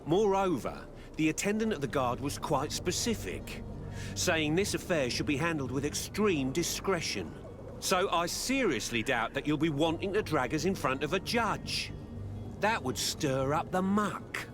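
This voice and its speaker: An actor playing an evasive, stubborn merchant's apprentice in a video game, deferential and full of whiny excuses. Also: male voice, a little high, expressive, a little slow. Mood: smug. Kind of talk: scripted video game dialogue. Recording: music behind the voice.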